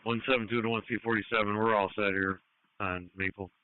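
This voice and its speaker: A man trades terse emergency messages by two-way radio. His voice is fairly low and clean, with a dry, tinny scanner sound. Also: steady tone, fairly quick, smooth, no room sound. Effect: two-way radio transmission. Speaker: emergency services radio operator.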